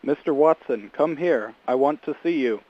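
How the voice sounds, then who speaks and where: A man's voice: mid-range voice, moderate pace. A man reciting a short test phrase through a telephone-style lo-fi effect. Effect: lo-fi telephone filter being built up.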